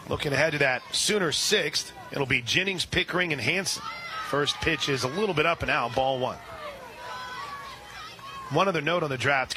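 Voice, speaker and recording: A man calling a softball game on the radio, describing each pitch and reading sponsor spots between plays. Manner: rises sharply and loud on big plays. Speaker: radio play-by-play announcer. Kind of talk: live softball play-by-play.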